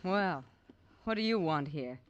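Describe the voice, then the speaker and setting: A woman's voice: fairly low pitch, animated, unhurried, highs cut off, noisy background. An actress playing a stubborn, grieving young townswoman set on vengeance in an old radio western, earnest and defiant. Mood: hostile.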